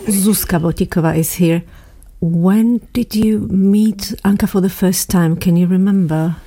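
A woman presenting a radio program and interviewing a colleague in a calm, steady way. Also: fairly low pitch, measured pace. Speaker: radio host.

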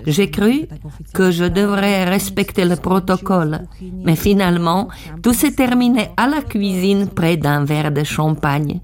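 Low-voiced woman doing voice-over translation. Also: a little slow, a little mellow. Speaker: voice-over interpreter.

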